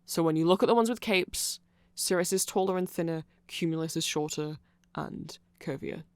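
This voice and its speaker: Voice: medium pitch, moderate pace, clean tone, no echo. A person giving an informal, rambling ASMR-style explanation full of asides, close to the microphone. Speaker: ASMR audio creator.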